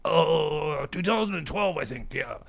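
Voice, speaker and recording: A man plays a surly barfly sidekick in a radio comedy. His voice is gravelly and slightly high, and he mutters and rambles, saying things over again. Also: animated, unhurried, exaggerated voice. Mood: rambling, excited. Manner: muttering, repeats himself. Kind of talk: improvised radio comedy sketch.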